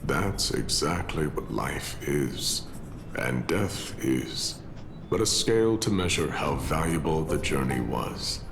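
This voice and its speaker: An actor playing a weary, philosophical warrior in a video game, speaking in a solemn, measured, grandiloquent way with a gravelly voice. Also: male voice, a bass voice, expressive, music in the background, full character voice. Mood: solemn, resolute. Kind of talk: dramatic game voice acting, storytelling monologue.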